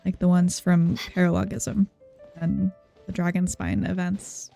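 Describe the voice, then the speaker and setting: A woman's voice: fairly low pitch, little pitch movement, a little slow, creaky, dark tone. A woman casually commenting on a video game playthrough with puzzled, murmured reactions over game music. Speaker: game streamer. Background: game music and game dialogue.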